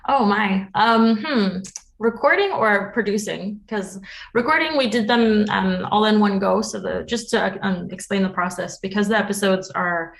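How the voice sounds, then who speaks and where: Woman podcast producer being interviewed, chatty and informal, normal mid pitch, laughs easily. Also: measured pace, gravelly, poor audio. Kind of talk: casual, animated interview chat.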